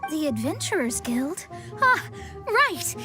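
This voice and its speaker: A woman's voice: high voice, very animated, slow, heavily acted. A woman playing a proud young female astrologer in an anime-style video game, haughty and theatrical, stammering when flustered. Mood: awkward. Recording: game music behind the voice. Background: game music.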